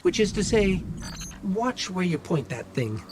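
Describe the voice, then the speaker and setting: An actor playing a watchful, dry-witted companion who guides a hero through video game missions with wry encouragement. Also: male voice, sits high, big pitch swings, unhurried, very noisy. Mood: wry, encouraging.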